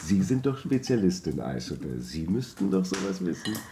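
An actor in a German radio drama playing a smooth-talking, evasive husband with a sly charm. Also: male voice, deep voice, big pitch swings, normal speed, mellow, a little hiss or hum. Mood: curious, charming.

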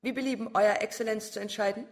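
An actress as a deferential court attendant, echoing hall. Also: female voice, mid-range voice, fairly even intonation, fast, natural voice. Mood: deferential, urgent. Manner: formal, deferential. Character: deferential, hurried court attendant reporting to judge. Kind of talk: German radio crime drama acting.

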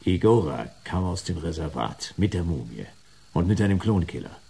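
An actor playing a wry, hard-boiled private detective in a German radio play, husky and gravelly, with a dry, laconic delivery. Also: male voice, low-pitched, sing-song, moderate pace, warm tone, full character voice. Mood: sceptical.

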